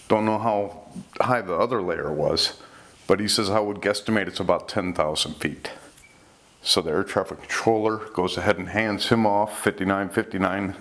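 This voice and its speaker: A man with a low voice hosts an informal storytelling talk. He recounts incidents conversationally, relaying what was said in plain reported speech. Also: a bit bright. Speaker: host recounting UFO reports.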